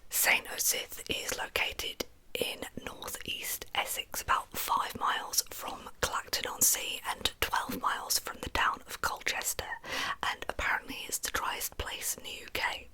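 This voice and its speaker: A British woman whispers close to silence, her voice all breath and no tone. The sound is very bright and airy, with crisp sibilant edges. She hosts a true crime ASMR series and talks informally, as if chatting through her story. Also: measured pace, low-quality recording.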